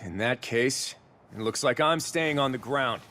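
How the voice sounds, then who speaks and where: A man's voice: mid-range voice, a little slow, pushed and bright. An actor playing a courteous, confident male warrior chief and strategist in a video game cutscene. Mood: confident, commanding. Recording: music behind the voice.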